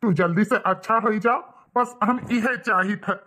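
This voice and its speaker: A man's voice: sits high, moderate pace, bright, forceful tone. A man voicing a loyal, earnest village man in a cartoon, with an Awadhi accent and an emphatic, declaiming delivery. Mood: earnest resolve.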